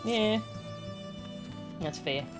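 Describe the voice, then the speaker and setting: A woman's voice: medium pitch, expressive, drawn-out words. A woman streaming a video game, giving casual, playful, joking commentary as she plays. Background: music.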